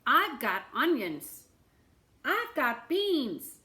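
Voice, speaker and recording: A woman voicing eager, pleading storybook townsfolk, calling out with excited insistence. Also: high voice, very slow, voice pushed up, exaggerated voice. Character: eager, pleading townsfolk offering food to a beggar.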